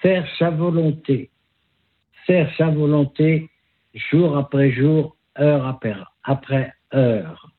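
An older male priest answering questions briefly and calmly. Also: a little high, phone-quality sound. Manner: brief, calm, slow.

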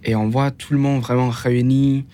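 A young man with a foreign accent, a non-native French speaker, gives halting answers as a student guest in an interview. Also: mid-range voice, little pitch movement, mellow. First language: not French, learned it after moving to France. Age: young adult.

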